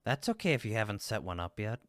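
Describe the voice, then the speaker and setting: Friendly male cupid roommate, acted by a man for roleplay audio: lower register, teasing yet supportive, emphatic, very dry sound. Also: big pitch swings, fast, fairly bright tone, dry recording. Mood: reassuring. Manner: playful, reassuring, emphatic. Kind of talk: roleplay audio comfort acting, one-sided conversation.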